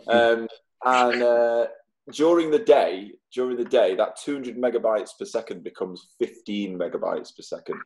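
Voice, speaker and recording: A man's voice: mid-range voice, moderate pace, slight background noise, rough recording. A British man in his thirties chatting casually in a rambling, sweary way. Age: 30s. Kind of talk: casual chat.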